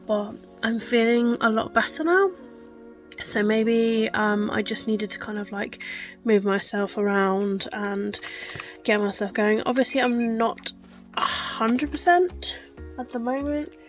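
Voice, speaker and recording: A woman's voice: fairly high pitch, takes it slowly, faint music behind. A young woman chatting casually in a vlog, rambling with lots of ums and likes. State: wheezy, recovering from illness. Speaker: book vlogger.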